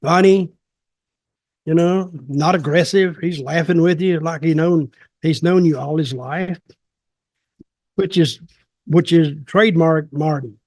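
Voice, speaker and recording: A man in his seventies with a slightly high-set voice talks casually on a podcast. He rambles through opinions in a blunt, folksy way. The sound is dry, with very little room in it. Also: unhurried, long pauses, darkish tone, dry recording. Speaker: podcast co-host and wrestling storyteller. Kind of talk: casual chat, opinionated commentary. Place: small home office, video call.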